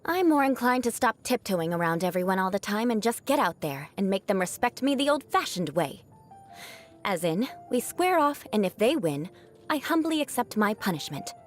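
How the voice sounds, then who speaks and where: A woman's voice: medium pitch, big pitch swings, moderate pace, faint music behind, lightly acted. A young woman voicing a proud, haughty noblewoman knight in a video game, an outcast bent on revenge, with formal, dramatic diction. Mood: proud, defiant. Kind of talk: video game character voice lines.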